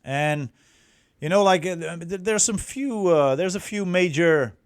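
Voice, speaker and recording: Unhurried Dutch-accented man with a clear voice. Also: sits high. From Netherlands. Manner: halting, word-searching, self-correcting delivery. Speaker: dating coach and presenter. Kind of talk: explanatory online talk to viewers.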